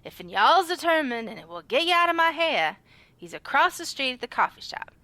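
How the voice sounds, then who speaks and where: A woman's voice: high voice, very animated, fairly quick, sharp tone, no echo, heavily acted. A woman playing a haughty, exasperated office worker in a comedy audio drama. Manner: exasperated, curt, Southern y'all speech. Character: snippy, irritable female office worker, haughty.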